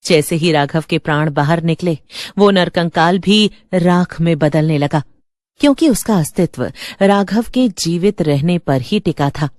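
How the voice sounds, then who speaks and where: Low-voiced woman narrating horror. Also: a little slow, darkish tone, no echo, clean studio sound. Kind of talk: scripted dramatic horror narration.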